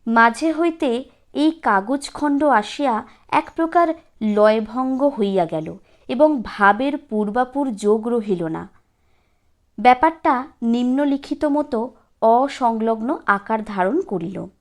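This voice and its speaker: A woman narrates a classic story in a high voice. She reads at a slow, measured pace, giving the literary prose expression like an audiobook storyteller. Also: lively intonation.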